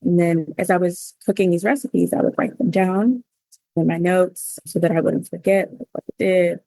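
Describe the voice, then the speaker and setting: She speaks with a slightly low voice that often drops into creak, and her pitch stays fairly flat. She is a woman sharing her own story in an interview, reflective and informal, pausing as she finds her words. She comes from Cameroon and later the United States. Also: no echo, rough recording. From Cameroon, then the United States. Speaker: interview guest, cookbook author. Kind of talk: personal storytelling in a conversational interview.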